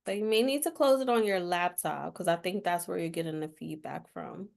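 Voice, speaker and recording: A woman's voice: fairly low pitch, a little fast, gravelly, darkish tone. A woman casually hosting a virtual panel of guests as its moderator. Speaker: host of author meet and greet.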